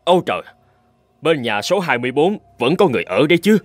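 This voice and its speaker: Anxious middle-aged husband, animated swooping pitch, exclamatory, cartoon, faint music behind. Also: male voice, fast, dry recording. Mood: surprised, puzzled. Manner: exclamatory, muttering to himself. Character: stout middle-aged husband, curious, anxious, easily alarmed.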